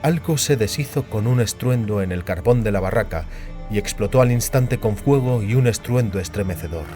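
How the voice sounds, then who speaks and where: A man's voice: fairly low pitch, lively intonation, moderate pace. A man narrating a fantasy audiobook in a scripted, dramatic storytelling style. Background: music.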